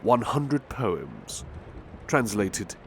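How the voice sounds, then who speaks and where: A man voicing a devoted, big-hearted butler who softly reads poetry aloud in an ASMR roleplay. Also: fairly low pitch, big pitch swings, gravelly, slight background noise, exaggerated voice. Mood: tender, calm. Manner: soft, unhurried, tender recitation. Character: gentle devoted male butler servant. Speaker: butler reading poetry to the listener.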